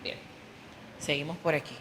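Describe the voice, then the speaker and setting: A woman's voice: normal speed, very noisy. A woman interviewing a guide on a tour, asking follow-up questions and reacting briefly.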